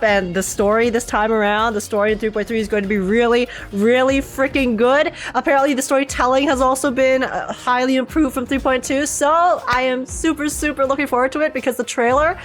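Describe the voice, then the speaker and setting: A woman streamer giving casual, excited gaming commentary, gushing and repeating phrases for emphasis in informal speech. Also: fairly high pitch. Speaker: streamer reacting to a video game.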